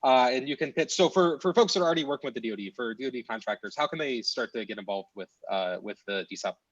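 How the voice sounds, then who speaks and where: A man hosts the interview and talks fast in a relaxed, chatty way. His audio is muffled and lo-fi. It is also very dry, with no room sound, as on a video call. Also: mid-range voice, no echo. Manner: relaxed, conversational. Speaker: host, interviewer. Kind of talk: casual interview questions.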